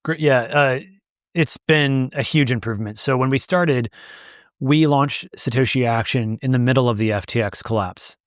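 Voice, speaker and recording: A man's voice: medium pitch, normal speed, dry recording, clean studio sound. A man answering interview questions as the founder of an advocacy group, speaking emphatically and repeating words as he builds a point.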